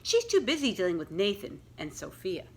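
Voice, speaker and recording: A woman reading a children's story aloud like a school librarian, with deliberate, expressive storytelling phrasing aimed at young listeners. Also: medium pitch, slightly rough. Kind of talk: expressive picture-book read-aloud with questions to young listeners.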